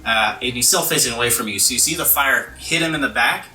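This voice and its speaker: A man's voice: medium pitch, fast talker, sharp tone, recorded from a distance, a little hiss or hum. Roomy-sounding man narrating a fantasy game. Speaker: game master. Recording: roomy, off-mic, music underneath. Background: background music.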